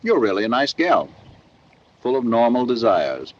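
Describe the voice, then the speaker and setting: A man's voice: medium pitch, very animated, moderate pace. An actor playing a man who dryly mock-scolds a woman in a 1930s film comedy. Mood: teasing scolding. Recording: dull vintage film soundtrack.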